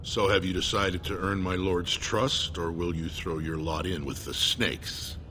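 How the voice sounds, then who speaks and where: Low-voiced, stern nobleman's aide. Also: fairly even intonation, unhurried, music in the background. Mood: stern, demanding. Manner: formal, curt, commanding. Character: stern, distrustful male nobleman's aide in a fantasy game. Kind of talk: dramatic video game dialogue.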